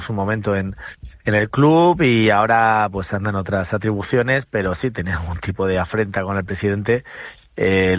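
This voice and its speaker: Low-voiced male reporter, halting, heard over a tinny phone line. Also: dry recording. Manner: halting, many restarts and fillers. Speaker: newspaper reporter. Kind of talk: casual radio report.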